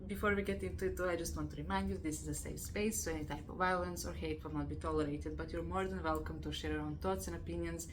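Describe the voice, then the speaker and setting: A woman hosting an interview podcast, upbeat and quick to laugh. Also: on the low side, noisy background. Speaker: host.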